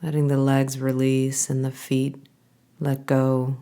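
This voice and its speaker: A woman guiding a body scan meditation, giving calm, gentle instructions in an unhurried way with pauses. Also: extremely low pitch. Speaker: meditation guide.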